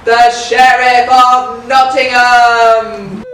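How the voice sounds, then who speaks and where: Woman acting boisterous outlaw, exaggerated stage delivery, highish, heavily echoing, far from the mic. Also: level tone, drawn-out words, a bit bright. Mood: proclaiming. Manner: declaiming, exaggerated. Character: boisterous merry outlaw of Sherwood Forest. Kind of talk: broad pantomime stage acting. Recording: echoey hall from far off, faint noise.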